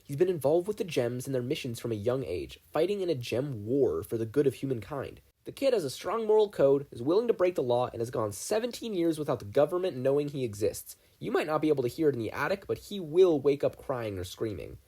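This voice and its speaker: A reader voicing a teenage girl who speaks softly and reproachfully. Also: mid-range voice, fairly quick, few pauses, breathy edge. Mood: soft reproach. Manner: soft, steady, reproachful.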